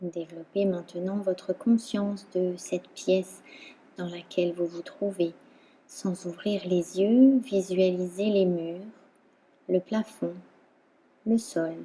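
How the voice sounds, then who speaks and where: A woman instructor guiding a relaxation meditation in a calm, soothing manner, leaving long pauses between phrases. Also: lowish voice, husky. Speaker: yoga nidra instructor.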